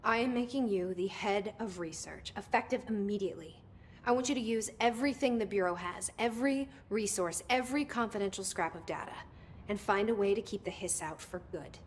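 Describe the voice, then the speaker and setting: An actress playing a resolute, candid young woman who runs an agency in a video game, plain-spoken and measured. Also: mid-range voice, slight background noise, lightly acted. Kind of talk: naturalistic video game acting.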